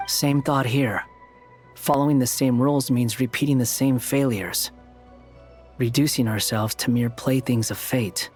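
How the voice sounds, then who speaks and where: A man's voice: medium pitch, fairly even intonation, a little slow, faint music behind, natural voice. An actor playing a blunt, aloof young man in a fantasy game, with curt, dry, challenging delivery. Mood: dry, serious. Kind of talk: scripted game dialogue.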